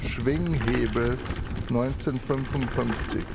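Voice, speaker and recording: Male announcer, gravelly and very slow, terse scripted labels, noisy background. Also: mid-range voice. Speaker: announcer of document headings. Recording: clearly audible background noise.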